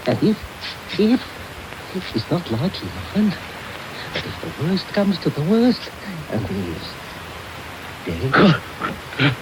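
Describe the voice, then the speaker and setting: A man's voice: fairly high pitch, huge pitch swings, slow pace, pauses often, full character voice. A man playing a wily, wheedling old criminal boss in a radio drama, oily and muttering. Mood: scheming. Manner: oily, muttering, swooping, repeats himself. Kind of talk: 1990s radio drama acting. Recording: noisy 1990s medium-wave radio tape.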